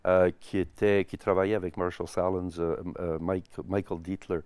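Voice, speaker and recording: Male academic, middle-aged, very low smooth voice, halting with frequent fillers, dry close sound. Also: level tone, darkish tone, no room sound. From Canada. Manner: hesitant, many "euh" fillers, measured. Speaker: history and ethnology professor. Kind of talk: interview, career account.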